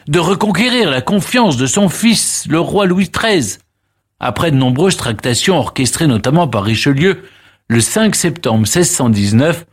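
A man hosting a radio history show, narrating past events in a lively, theatrical way with emphatic pauses. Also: fairly high pitch, husky. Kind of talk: dramatic historical storytelling.

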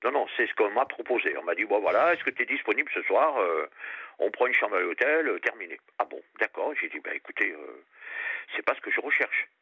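An older man from France, calling in to a radio show, talking earnestly in a halting way and often repeating his words. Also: on the low side, sing-song, husky, no echo. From France, Périgord, grew up on Réunion. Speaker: caller. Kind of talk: phone-in radio call. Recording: muffled phone line.

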